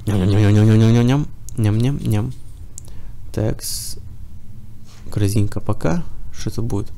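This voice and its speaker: A man's voice: lowish voice, measured pace, mellow. A man with a cold giving casual running commentary while playing a game, chatting to himself and to the enemies on screen. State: has a cold. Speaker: let's play gamer.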